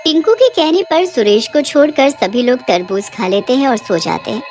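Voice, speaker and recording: A woman's voice: high-pitched, animated, moderate pace, a little mellow, soft background music. A woman narrating a scripted cartoon horror bedtime story.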